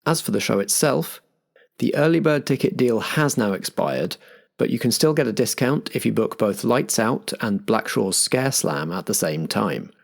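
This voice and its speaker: A man's voice: medium pitch, lively intonation, moderate pace, gravelly. A man hosting a roleplay podcast and narrating as game master. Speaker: game master and show host.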